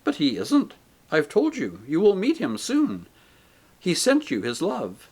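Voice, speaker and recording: A man voicing a radiant male spirit who answers calmly and patiently. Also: high voice, measured pace, husky, voice pushed up, full character voice. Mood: serene. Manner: calm, patient, gently reassuring. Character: radiant joyful male spirit, calm and gentle.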